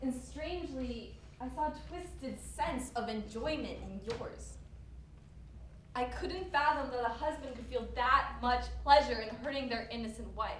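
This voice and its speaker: A woman acting an accusing, protective best friend in a stage play, speaking with emphatic dramatic pauses, recorded from afar in an echoey hall. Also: a little high, a bit bright. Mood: hurt, bitter. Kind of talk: student one-act stage play acting. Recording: very echoey hall, far mic, faint noise.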